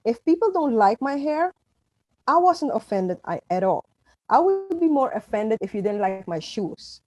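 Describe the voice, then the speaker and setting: Animated middle-aged woman from Suriname. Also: a little high, moderate pace, rough recording. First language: Dutch. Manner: animated, emphatic, with frequent restarts. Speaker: author and guest. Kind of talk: conversational interview.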